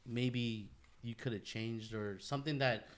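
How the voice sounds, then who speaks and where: A man's voice: mid-range voice, fairly even intonation, measured pace. A man co-hosting a casual podcast, talking informally with friends in profane bro slang. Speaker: podcast co-host.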